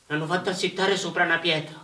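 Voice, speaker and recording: A man's voice: on the high side, fairly even intonation, fast, bright, forceful tone. An actor playing a shy, innocent, guilt-ridden young infantryman in a war drama, speaking earnestly. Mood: anguished. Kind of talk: live stage or radio war drama acting. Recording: roomy, distant microphone, music behind.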